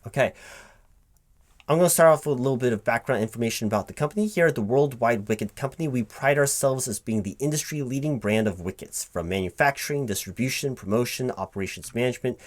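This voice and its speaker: A man playing an earnest, easily flustered corporate recruiting manager in a spoken ASMR roleplay, wordy and prone to stammering. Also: on the low side. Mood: flustered, delighted. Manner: rambling corporate jargon, hesitant and stammering when thrown. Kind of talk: spoken ASMR roleplay, one-sided job interview.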